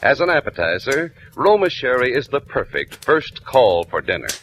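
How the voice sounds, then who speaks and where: An American man announcing a 1940s radio commercial with a polished, measured sales delivery. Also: mid-range voice, sing-song, a bit bright. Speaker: radio announcer reading sponsor ad. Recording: noisy vintage 1940s radio recording.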